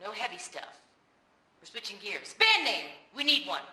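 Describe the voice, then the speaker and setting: An actress voicing a slangy, rebellious young female musician in a video game, with casual, animated delivery. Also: medium pitch, moderate pace, echoey room, far from the mic. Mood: upbeat.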